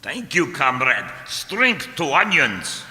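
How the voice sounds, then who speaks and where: An actor playing a zealous, fawning vegetable general in a satirical radio play. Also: male voice, medium pitch, big pitch swings, takes it slowly, sharp tone, exaggerated voice. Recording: reverberant, very noisy.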